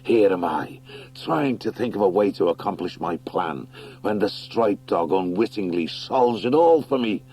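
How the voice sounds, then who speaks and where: A man's voice: on the low side, expressive, unhurried, a little mellow. A man voicing a smug, grandiose wildcat conqueror who schemes and gloats, in an audiobook. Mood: gloating.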